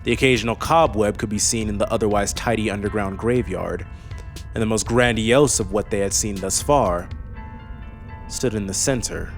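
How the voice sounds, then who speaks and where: A man with a fairly low voice narrates a fantasy audiobook from a script. Faint music plays beneath his reading. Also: moderate pace.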